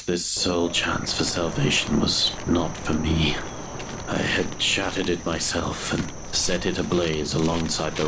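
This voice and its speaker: A man's voice: extremely low pitch, background noise. A man playing a guilt-ridden grave robber who narrates his doom in a brooding, theatrical way, in a gothic horror audio drama.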